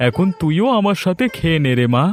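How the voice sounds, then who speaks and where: A rather high male cartoon voice from an actor playing a humble middle-aged father. He is gentle, trusting and patient, soothing his child in folk-tale dialogue over faint music. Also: expressive, brisk, lightly acted.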